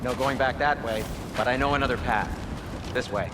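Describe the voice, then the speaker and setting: A man's voice: medium pitch, normal speed, noisy background, close to an everyday voice. A man playing a fussy, cautious, wry dwarf craftsman in a cinematic video game, speaking in an anxious, prim way. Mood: urgent.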